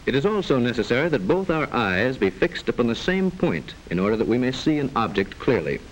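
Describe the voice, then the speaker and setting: Lively vintage educational film narrator, a man. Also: medium pitch, rough recording. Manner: formal, measured, didactic, precise enunciation. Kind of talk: scripted mid-century educational film narration. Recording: hissy vintage mid-century film soundtrack.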